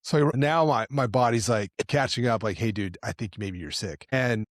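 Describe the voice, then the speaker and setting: A man's voice: medium pitch, normal speed, no echo, clean studio sound. A man co-hosting a podcast, telling a laid-back story while getting over the flu, sounding a little nasal. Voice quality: slightly nasal. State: recovering from flu, lingering cough. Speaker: podcast co-host. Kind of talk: casual podcast chat.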